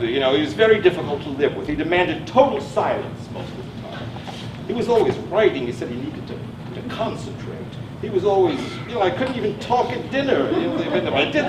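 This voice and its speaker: A man playing a chatty, eager psychiatric patient in a stage play, pedantic and rambling, excitable and prone to repeating himself. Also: high-pitched, background noise. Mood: wistful, rambling. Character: chatty, eager know-it-all male psychiatric patient named Ethan. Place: theatre stage, recorded from a distance. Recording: echoey stage recording, distant mic, music.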